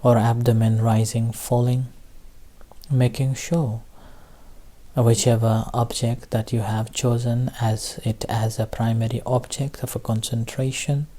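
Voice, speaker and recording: A man leading a guided meditation as a teacher, speaking gently and haltingly in short phrases with long pauses. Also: medium pitch, level tone. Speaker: Buddhist meditation teacher leading a group session. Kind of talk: guided meditation instruction, unscripted.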